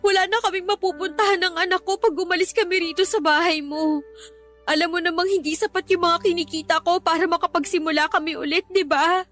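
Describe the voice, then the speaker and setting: A desperate girlfriend and mother pleads in a radio love drama. Her voice is very high and full of emotion, with pride under the begging. Soft music plays faintly underneath. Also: fairly even intonation, a little fast. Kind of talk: Tagalog radio drama acting.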